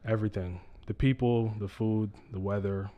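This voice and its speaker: A man in his twenties from upstate New York, answering interview questions in a calm, low-key way. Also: moderate pace, phone-quality sound. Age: 20s. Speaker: guest.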